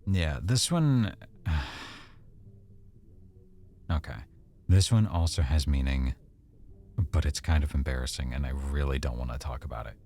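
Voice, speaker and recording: A man playing an affectionate, teasing, easily embarrassed boyfriend in an intimate, conversational ASMR roleplay, speaking softly and a little hesitantly. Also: extremely low pitch, a little slow, long pauses, a little hiss or hum. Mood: embarrassed, reluctant.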